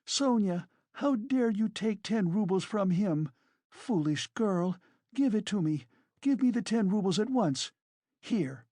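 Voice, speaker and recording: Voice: mid-range voice, expressive, measured pace, mellow, no room sound, voice pushed up. A narrator voicing a frantic, furious, proud woman in a dramatised audiobook, shrieking and exclaiming. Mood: scolding. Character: hysterical, furious, proud wasted woman defending a girl.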